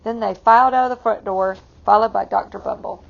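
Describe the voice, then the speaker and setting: A woman reading a picture book aloud to children, expressively, pausing to explain it in simple asides. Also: medium pitch, gravelly, dull audio. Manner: expressive, explains the story to young listeners. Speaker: read-aloud storyteller for children.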